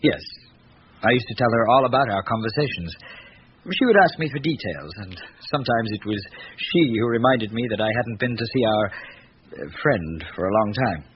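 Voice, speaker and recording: A man's voice: on the low side, animated, normal speed, lightly acted. An actor playing an earnest, well-bred young grandson of an aristocratic family, reflective and hesitant as he gives his account in a radio drama. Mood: candid, reminiscing. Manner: reflective, articulate, hesitant in recalling. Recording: muffled, noisy old radio recording.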